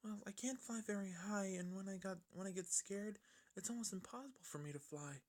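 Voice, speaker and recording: A gentle young female pony as a male reader puts her on speaks in a raised, soft voice. She is timid and hesitant, a shy storybook character who chooses her words carefully. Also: sits high, fairly quick, voice pushed up, close to an everyday voice. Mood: timid, reluctant. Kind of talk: fan fiction character voice.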